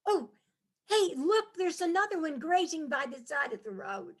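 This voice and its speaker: A woman's voice: high-pitched, normal speed. A woman playing a cheerful New York wife charmed by the countryside, chatty and dreamy, in a staged reading of a comic play. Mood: curious. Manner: chatty, dreamy, easily excited.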